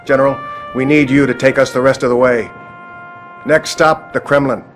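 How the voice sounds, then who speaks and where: An actor playing a resolute American statesman in a game cutscene, speaking gravely like an orator over music. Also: mid-range voice, steady tone, moderate pace, lightly acted. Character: solemn American statesman, resolute wartime leader. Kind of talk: video game cutscene acting.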